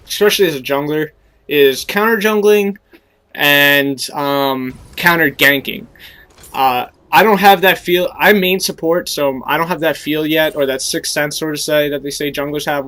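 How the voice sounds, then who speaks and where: A man's voice: on the high side, normal speed, fairly bright tone. Stuffy-voiced young man explaining his game. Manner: explanatory, coaching tone with many fillers. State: stuffy nose and sore throat from a cold. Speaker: gameplay commentator. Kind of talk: casual game commentary.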